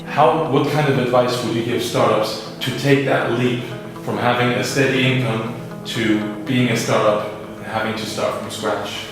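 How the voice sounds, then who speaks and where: Man, interviewer, upbeat, halting, echoey room, far from mic. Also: medium pitch, fairly even intonation, music in the background. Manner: upbeat, slightly halting questions. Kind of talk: interview questions.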